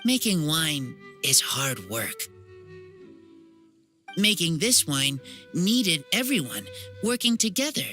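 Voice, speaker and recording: A man voicing an earnest, simple-hearted teenage boy raised by wolves in a video game, in halting short phrases. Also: on the high side, big pitch swings, sharp tone, faint music behind, exaggerated voice. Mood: moved, hopeful. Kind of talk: dramatic video game voice acting.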